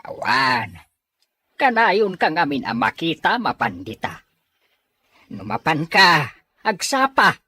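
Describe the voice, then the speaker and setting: An actor with a Filipino accent and a husky voice, playing a nagging, disapproving village husband in a radio drama. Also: male voice, on the high side, big pitch swings, slow, long pauses, sharp tone, full character voice. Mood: scolding.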